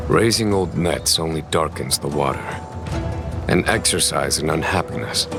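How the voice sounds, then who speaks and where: An actor playing a composed, weary general in a fantasy game, with formal, measured, eloquent delivery. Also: male voice, a bass voice, exaggerated voice. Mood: grave, insistent. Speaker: general of an army. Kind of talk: scripted fantasy game dialogue. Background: music.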